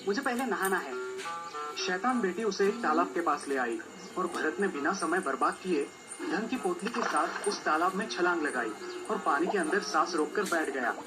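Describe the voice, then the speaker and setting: Male storyteller with a slightly high voice. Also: lively intonation, normal speed, rough recording. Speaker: storyteller, narrator. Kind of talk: scripted children's folk tale narration. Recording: music behind the voice.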